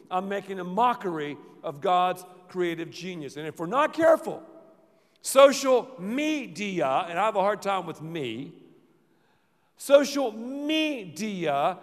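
A man preaching a sermon as pastor, playful and dramatic, often dropping to a low murmur. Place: large church hall. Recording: reverberant church hall.

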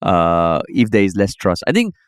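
A man's voice: deep voice, normal speed, clear, resonant tone, no echo, clean studio sound. A man with a Singaporean accent co-hosting a podcast in casual, chatty talk, often ending lines with "lah". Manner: chatty, animated, sprinkles lah. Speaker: podcast co-host. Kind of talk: casual chat.

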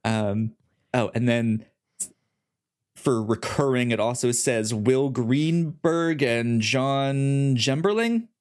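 A podcast co-host chatting casually about TV in a halting way, often repeating words mid-sentence. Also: mid-range voice, darkish tone.

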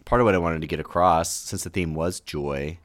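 A man in his thirties, a pastor, talking through his week in a casual, reflective way with many fillers, his voice slightly hoarse from a cold. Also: sits low, a little fast, no room sound, clean studio sound. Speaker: pastor, podcast guest. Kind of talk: casual conversation.

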